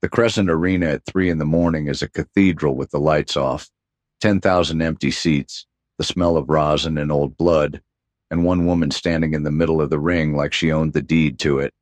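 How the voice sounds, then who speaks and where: A hard-boiled detective with an extremely low voice, recorded dry and close. He is world-weary and wry, narrating a 1930s noir radio play in deadpan. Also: moderate pace, no echo. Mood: brooding, wry.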